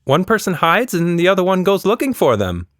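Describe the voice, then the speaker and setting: A man's voice: high-pitched, very animated, brisk, no room sound, clean studio sound, voice pushed up. Man playing an earnest, headstrong little girl. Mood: explaining.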